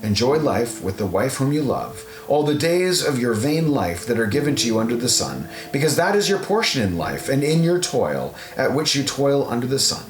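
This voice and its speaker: A man narrating an audio Bible, reading scripted scripture in a measured, solemn recitation. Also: mid-range voice, very animated, slight background noise. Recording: roomy echo, guitar music underneath.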